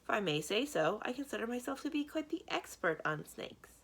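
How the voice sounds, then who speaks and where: A woman voicing a vain male villain in a storybook read-aloud, smooth, boastful and condescending. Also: on the high side, big pitch swings, a little fast, pitched above the performer's own voice, heavily acted. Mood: smug, boastful. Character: smug, vain male villain posing as snake expert.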